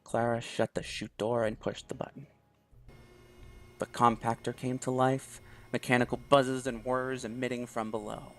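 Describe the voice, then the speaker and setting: Gravelly man reading a story aloud. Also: medium pitch, level tone, normal speed, soft background music. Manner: first-person narration with small restarts. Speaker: let's play host. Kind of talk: reading a visual novel aloud.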